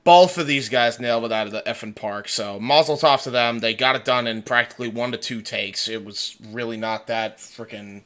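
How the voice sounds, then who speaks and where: A man hosting a casual livestream chat, talking in an excitable way and swearing freely. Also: medium pitch, moderate pace, few pauses. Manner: profane, excitable. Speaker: livestream host.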